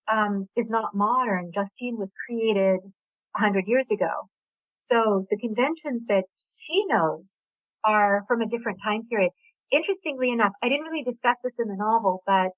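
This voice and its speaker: A woman guest speaks over a narrow, tinny phone connection, her voice sitting at an ordinary middle pitch. She explains her ideas thoughtfully, pausing and correcting herself along the way. The sound is dry, with no sense of a room around her. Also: no room sound. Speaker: author guest. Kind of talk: explanatory interview talk.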